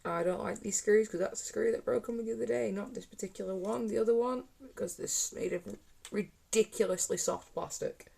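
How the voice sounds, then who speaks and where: A woman's voice: medium pitch, brisk, creaky, a little mellow, husky. A woman chatting casually to her live-stream viewers while repairing a console, talking to herself as she works.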